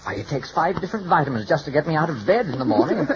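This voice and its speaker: A man's voice: fairly high pitch, sing-song, very fast. An actor playing a timid, apologetic little male hairdresser in a radio comedy, with a hesitant, fretful, stammering delivery. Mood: fretful, self-deprecating. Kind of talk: 1940s radio comedy acting. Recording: dull, noisy vintage radio recording.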